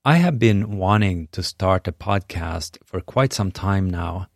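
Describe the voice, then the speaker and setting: A middle-aged man with a Swedish accent and a low, deep voice delivers a scripted podcast monologue. He talks in an earnest, measured, encouraging way, close and dry with no room sound. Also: lively intonation, no echo. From Sweden. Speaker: podcast host.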